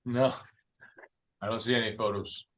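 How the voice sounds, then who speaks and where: A man's voice: lowish voice, steady tone, normal speed, a bit bright. A man from Miami, a guest on a talk show, speaking in a laid-back, slangy way. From Miami, USA. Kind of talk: casual talk show chat.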